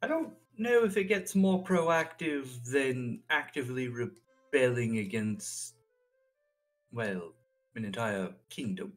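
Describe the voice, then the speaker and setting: A man's voice: medium pitch, lively intonation, takes it slowly, soft background music, low-quality recording. A man playing a troubled, guarded, solemn adventurer in an improvised fantasy audio drama, speaking hesitantly and formally. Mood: brooding.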